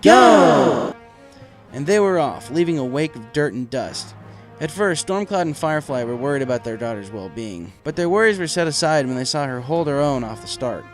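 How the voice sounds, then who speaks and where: A man's voice: medium pitch, lively intonation, a little fast, few pauses, soft background music. A man reading a story aloud as narrator, in a scripted storytelling style. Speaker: story reader.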